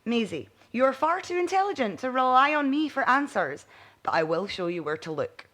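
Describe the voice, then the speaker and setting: Woman voicing a calm, authoritative male mentor. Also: sits high, normal speed, pitched above the performer's own voice, heavily acted. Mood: calm, firm. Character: strict but gentle man, authoritative mentor.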